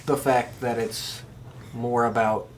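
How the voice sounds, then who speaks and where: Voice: mid-range voice, flat delivery, takes it slowly, a little hiss or hum. A podcast co-host talking casually and agreeably in an informal wrestling discussion.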